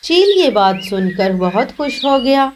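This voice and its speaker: A woman narrates a children's cartoon tale from a script. Her voice is somewhat high, with faint noise behind it. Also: big pitch swings, a little slow, darkish tone.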